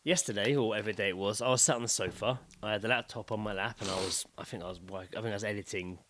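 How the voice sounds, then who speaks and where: A man's voice: lowish voice, racing. A man co-hosting a podcast in casual, sweary banter, acting out what people said as he tells anecdotes. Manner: sweary, animated retelling with acted-out quotes. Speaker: podcast co-host.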